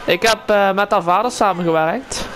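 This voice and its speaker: A man's voice: high-pitched, moderate pace, crowd noise. A man chatting casually in improvised video game roleplay, acting as a guide to a newcomer. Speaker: roleplay player guiding a newcomer. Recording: clear game noise behind the voice.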